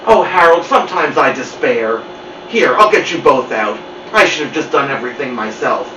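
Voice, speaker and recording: Bossy scheming cat for a storybook, played by a man: insistent, exasperated, animated pitch, distant muffled mic. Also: moderate pace, reverberant, a little hiss or hum. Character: bossy, impatient, scheming male house cat. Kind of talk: animated children's story reading.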